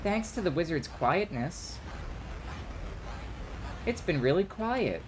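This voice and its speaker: A man's voice: high voice, very animated, slow, noisy background, pitched above the performer's own voice, heavily acted. A man voicing a nervous, dramatic townsperson in a visual novel, fearful of gang retribution. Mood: anxious. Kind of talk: visual novel character reading.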